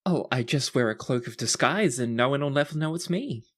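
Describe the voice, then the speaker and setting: A man's voice: medium pitch, expressive, a little fast, a bit bright. Man playing a bubbly, courtly young princess. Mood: conspiratorial, playful. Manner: formal courtly phrasing, effusive. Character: bubbly, earnest young princess with a mischievous streak.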